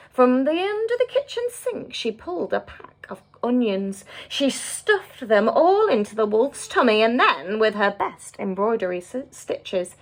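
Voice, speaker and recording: A woman teacher reading a children's story, expressive and deliberate, as if to small listeners. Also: mid-range voice, vocal fry.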